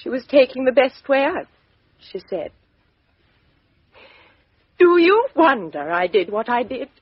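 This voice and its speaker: A proud young woman with a bitter, defiant edge, her voice raised and breathy. The dry sound comes from a dull lo-fi 1950s radio recording. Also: high-pitched, very animated, measured pace, dry recording, heavily acted. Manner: sharp, defiant, emotional. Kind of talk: 1950s radio drama acting.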